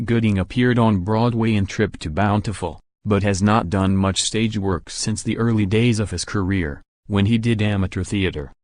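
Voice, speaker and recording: Low-voiced man reading celebrity news. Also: normal speed, few pauses, no room sound. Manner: even, steady read-through with no fillers. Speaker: entertainment news narrator. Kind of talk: scripted news narration.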